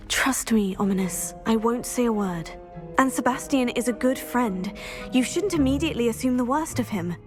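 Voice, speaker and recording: A woman playing a polite, curious, composed teenage witch in a video game, with a courteous, measured manner. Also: fairly high pitch, expressive, natural voice. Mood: placating. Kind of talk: video game cutscene acting. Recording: music behind the voice.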